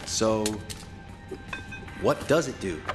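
An actor playing a flippant, streetwise survivor in a video game, talking in slangy, joking style. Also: male voice, on the low side, sing-song, drawn-out words, music in the background, heavily acted. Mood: wry. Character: wisecracking streetwise survivor, adult man, cocky and flippant. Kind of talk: video game cutscene acting.

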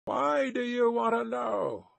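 Storybook mail-coach guard, played by a male narrator: gruff, rustic, suspicious, pitched high and barking threats. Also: sing-song, slow, a bit bright, no room sound, full character voice. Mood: commanding, threatening. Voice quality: gruff, growling. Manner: rustic old-fashioned speech, barking commands.

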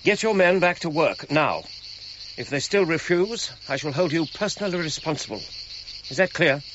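An actor playing an authoritative, sceptical English gentleman archaeologist in a radio drama, with an English accent. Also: on the high side, animated, moderate pace, close to an everyday voice. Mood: brisk, exasperated. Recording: dull radio recording, clear background noise.

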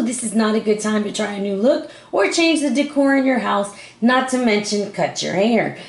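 A woman with a slightly high voice reads tarot in a chatty, upbeat way, calling her viewers family. Her throat is sore and strained. She sounds like she is in an echoey room at home. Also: a little mellow. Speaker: tarot reader. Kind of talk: casual tarot reading to camera.

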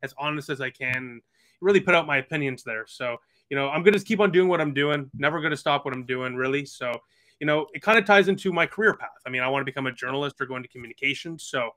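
A man's voice: medium pitch, fast talker, fairly bright tone. A man of about twenty, chatting earnestly as a podcast guest over a video call with lots of fillers. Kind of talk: casual video call chat.

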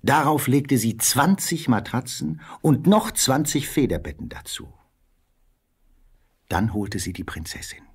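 A man's voice: medium pitch, lively intonation, a little slow, slightly rough. A man narrating a scripted fairy tale as storyteller.

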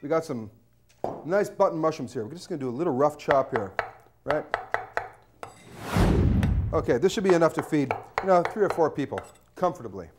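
A man's voice: a little high, animated, fairly quick, long pauses, noisy background. A man in his thirties hosting a cooking show in a studio kitchen, cheeky and joking as he talks to camera. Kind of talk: jokey cooking demonstration.

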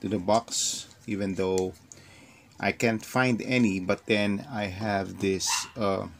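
A man's voice: on the low side, steady tone, slow pace. A Filipino man whose first language is Tagalog, hesitantly talking through an unboxing with many fillers, at a desk indoors. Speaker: vlogger. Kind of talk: casual unboxing talk. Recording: clear crinkling noise behind. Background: plastic crinkling and clicks.

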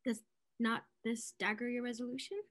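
A woman playing a witty, sharp-tongued young lady in a classical stage comedy, arch and mocking. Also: fairly high pitch, flat delivery, moderate pace, natural voice. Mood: wry, dramatic. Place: indoors at home, on a video call.

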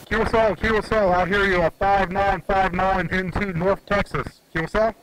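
High-pitched man over heavy outdoor noise. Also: steady tone, normal speed. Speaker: amateur radio operator and vlogger. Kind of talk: casual on-air radio contacts. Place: outdoors in a backyard patio. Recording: very noisy outdoor camera sound.

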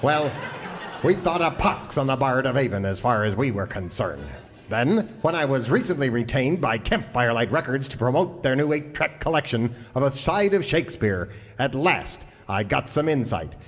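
A man playing a pompous, folksy quack doctor pitching in a parody radio commercial. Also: animated, moderate pace, natural voice. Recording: long hall reverb.

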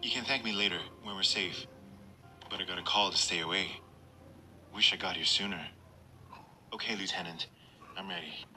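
A man's voice: sits low, normal speed, long pauses, bright, forceful tone, soft background music. A man playing a tough, determined special operative in a video game, terse and commanding. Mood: low, controlled.

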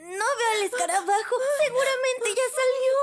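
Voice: very high-pitched, normal speed, slight background noise. A performer playing a timid, bookish little boy in a cartoon dub, with a Latin American accent. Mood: panicked. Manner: precise, know-it-all when explaining.

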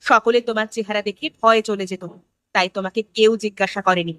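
A woman's voice: medium pitch, fairly even intonation, fast, a bit bright, dry recording, lightly acted. A woman playing a fearless, level-headed young village daughter in a horror cartoon, firm and questioning. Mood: curious. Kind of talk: animated horror story acting.

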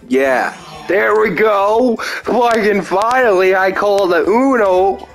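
A young man giving rambling, self-mocking commentary while playing a card game, now and then breaking into silly sing-song chants. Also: on the high side. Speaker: gameplay commentator. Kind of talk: casual gameplay commentary.

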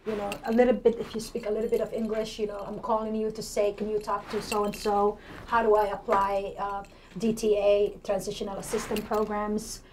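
A woman's voice: medium pitch, level tone, brisk, low-quality recording. A woman in her forties with a Somali accent, answering interview questions conversationally as a political candidate. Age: 40s. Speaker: city council candidate, interview guest. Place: indoor TV studio at a desk. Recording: clear background noise.

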